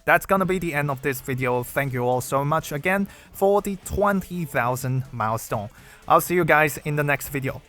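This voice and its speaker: Measured Chinese-accented young man. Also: fairly high pitch, expressive, fairly bright tone, faint music behind. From China. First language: Mandarin. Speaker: gaming YouTuber. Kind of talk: read Q&A video narration.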